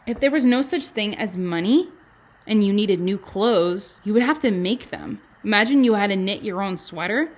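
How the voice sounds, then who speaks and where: A woman, a kindergarten teacher reading to children and adding chatty, animated explanations and questions in simple words. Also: medium pitch, moderate pace. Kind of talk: children's read-aloud with chatty asides.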